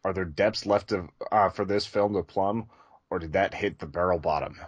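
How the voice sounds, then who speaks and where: A man's voice: low voice, normal speed, breathy edge, dry recording, dull audio. A man co-hosting a podcast, chatting casually in a rambling, loosely phrased way. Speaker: podcast co-host. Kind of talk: casual chat.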